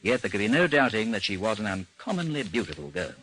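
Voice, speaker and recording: An actor playing a composed, dry investigation chief in an old radio drama, speaking and narrating in a formal, understated way. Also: male voice, lowish voice, big pitch swings, quick pace, a bit bright, poor audio. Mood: measured narrating. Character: composed, dry British chief of a missing persons bureau, narrating his case.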